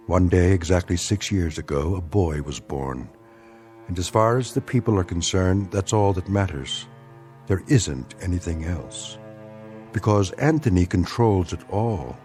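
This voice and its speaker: A low-voiced, portentous storyteller, played by a man, narrates an eerie radio drama. He is measured and grave, with music running beneath his words. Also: a little mellow, natural voice. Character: grave, ominous male storyteller of eerie tales. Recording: music under the voice.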